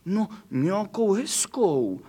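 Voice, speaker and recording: A man voicing a small, bored male dog who whines and pleads slowly. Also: high-pitched, sing-song, warm tone, voice pushed up. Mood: eager. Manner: whiny, drawn-out pleading.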